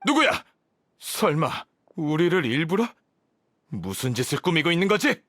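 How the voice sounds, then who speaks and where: An actor playing a gruff, suspicious male hunter in a game, husky and confrontational. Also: on the high side, sing-song, moderate pace, fairly bright tone, no echo. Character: gruff, alarmed hunter caught in an ambush. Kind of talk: dramatic Korean game voice acting.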